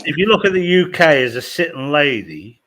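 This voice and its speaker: A man's voice: fairly high pitch, big pitch swings, fairly quick, a bit bright. An English man from the north of England, chatting casually on a group video call, often restarting his sentences and swearing freely. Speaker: regular guest.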